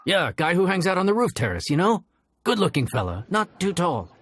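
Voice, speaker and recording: An actor playing a humble, friendly inn chef in a video game, with a hesitant, eager manner. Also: male voice, high voice, sing-song, fairly quick, a bit bright, a little hiss or hum. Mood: chatty, confiding. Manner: hesitant, colloquial, eager to please. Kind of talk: animated video game voice acting.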